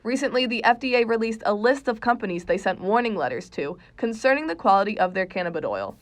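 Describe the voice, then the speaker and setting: A woman's voice: fairly high pitch, animated, fast. A young woman working as a student TV reporter, reading a scripted news report. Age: young adult.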